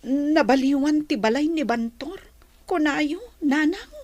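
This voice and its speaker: A woman's voice: high-pitched, animated, slow pace, darkish tone, no echo. A woman playing a young, troubled mother in a radio drama, hesitant and plaintive. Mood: wondering.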